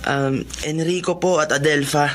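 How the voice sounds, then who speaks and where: An actor playing a humble, kind, polite young student with a Filipino accent in a radio drama. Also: male voice, a little high, steady tone, unhurried, a bit bright, soft background music. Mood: polite, surprised.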